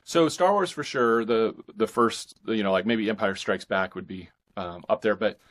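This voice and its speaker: A man in his forties with an American accent and a fairly low voice. He talks casually as a podcast guest, halting to think aloud, with open enthusiasm for what he describes. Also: a bit bright. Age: 40s. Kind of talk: casual interview chat.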